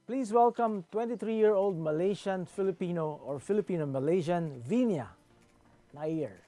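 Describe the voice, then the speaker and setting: A man's voice: sits high, normal speed, mellow. A man hosting a live talk show, who often stumbles and repeats words while forming his questions. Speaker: host.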